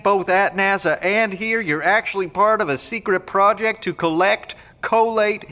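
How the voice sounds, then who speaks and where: A skeptical, folksy fellow in an audio drama. His voice sits high for a man and rings bright, with deliberate, colloquial phrasing.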